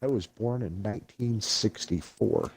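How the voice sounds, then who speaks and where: A man's voice: on the low side, measured pace, vocal fry, husky, no echo. A man in his fifties playing along on a gaming stream, joking, teasing and poking fun at his own age. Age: 50s. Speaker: co-player on stream. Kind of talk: casual gaming banter.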